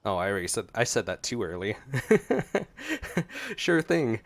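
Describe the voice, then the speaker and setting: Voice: medium pitch, wildly swooping pitch, slow pace. A streamer giving casual, playful gameplay commentary, reacting aloud to the game. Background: game music.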